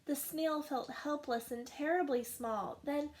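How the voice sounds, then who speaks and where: Slow, expressive woman reading a storybook. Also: sits high. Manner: slow, expressive rhyming narration. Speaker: teacher reading a picture book aloud. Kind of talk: storybook read-aloud.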